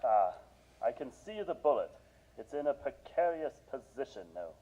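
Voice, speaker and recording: A man's voice: mid-range voice, sing-song, normal speed. A man acting as a commanding surgeon in a radio drama, clipped and urgent, on a thin, narrow recording. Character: tense, commanding male surgeon at work. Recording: thin, phone-like narrow sound.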